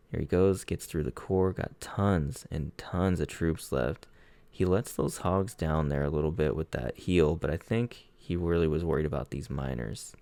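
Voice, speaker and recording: A man with a very deep, gravelly voice talks through gameplay in an easy, conversational way, picking apart each move. He sounds hoarse, like his voice is wearing out. The sound is dry and close, with no room echo. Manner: relaxed, conversational breakdown of strategy. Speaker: clan player reviewing war attacks. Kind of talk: casual gameplay commentary.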